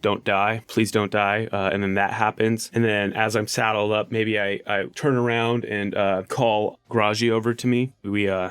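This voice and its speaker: A man playing a tabletop game, casually and haltingly describing his character's actions as he pieces them together. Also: on the low side, a bit bright, smooth, dry recording, studio quality.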